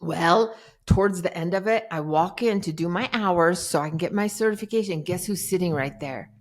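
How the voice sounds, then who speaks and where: A middle-aged woman, a life coach guest on a podcast, telling her story in a lively, dramatic way. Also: on the low side, moderate pace. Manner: animated, dramatic retelling with acted-out quotes. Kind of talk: casual interview storytelling.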